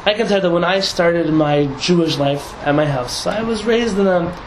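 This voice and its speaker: Lively high-voiced rabbi in an echoey room. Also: male voice, moderate pace. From San Diego, United States. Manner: lively, laughing, many restarts and asides. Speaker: rabbi teaching a class. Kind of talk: casual talk to a group. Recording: echoey room, audible noise.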